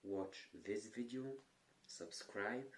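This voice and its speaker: A young man sharing advice in a calm, earnest manner, with occasional brief stutters. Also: lowish voice, slow pace. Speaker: stuttering coach. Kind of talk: talking-head advice vlog.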